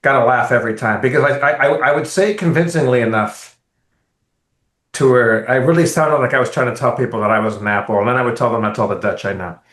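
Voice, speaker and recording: A man co-hosting a podcast, telling a rambling, animated travel story in casual, profane chat. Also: medium pitch, fast. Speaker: podcast co-host. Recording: roomy echo.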